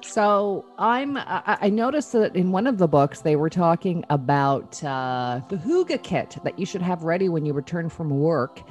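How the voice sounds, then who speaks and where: Female host, lively and varied intonation, conversational interview delivery, faint music. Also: on the low side, measured pace, few pauses. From Canada. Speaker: show host. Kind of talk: chatty interview.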